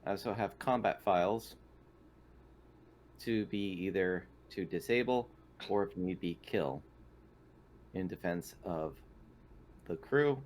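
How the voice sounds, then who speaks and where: A man's voice: low-pitched, takes it slowly, pauses often, a little mellow. A man playing a polite, literal-minded android in a tabletop roleplaying game, speaking in formal, wordy, clinical phrases. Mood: clinical, measured. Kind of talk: in-character tabletop roleplay over online game.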